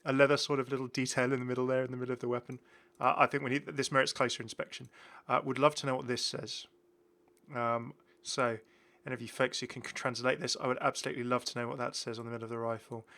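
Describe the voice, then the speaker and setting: A man's voice: mid-range voice, flat delivery, fast, gravelly, sharp tone. A man presenting a gaming video in rambling, casual commentary, chatty and enthusiastic as he goes off on tangents.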